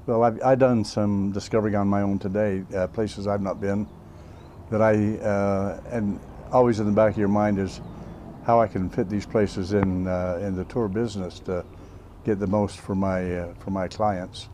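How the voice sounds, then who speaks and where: A man giving an unscripted interview as a tour operator, with a hesitant, halting delivery. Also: lowish voice, flat delivery, dark tone, slight background noise.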